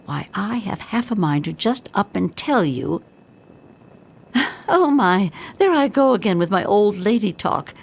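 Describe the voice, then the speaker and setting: A woman's voice: mid-range voice, a little mellow. An older woman playing a rambling old vault dweller in a video game, kindly but tart, with old-fashioned exclamations. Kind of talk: video game character acting.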